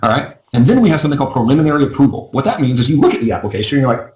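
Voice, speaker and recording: A man coaching a live webinar in a chatty, self-deprecating way, his voice going a little hoarse. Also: mid-range voice, big pitch swings, darkish tone, roomy sound. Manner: fast, chatty, self-deprecating, often asks "right?". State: losing his voice. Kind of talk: casual live webinar teaching.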